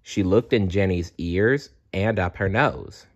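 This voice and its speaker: A man reading a children's storybook aloud as a storyteller, deliberate and often clearing his throat. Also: low-pitched, dull audio.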